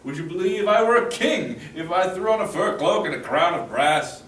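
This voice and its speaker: A man's voice: high-pitched, animated, normal speed, a bit bright, a little hiss or hum. A man voicing a proud, boastful aging hero at a table read, declaiming in a roomy room with a distant mic.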